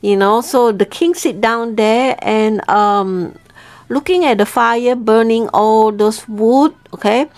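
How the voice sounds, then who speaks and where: A woman teacher telling a folk tale in a chatty, animated way, often checking in with her listeners. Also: fairly high pitch, slow. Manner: animated, chatty, frequent "okay?" check-ins. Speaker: Chinese teacher telling a story. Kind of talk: casual storytelling.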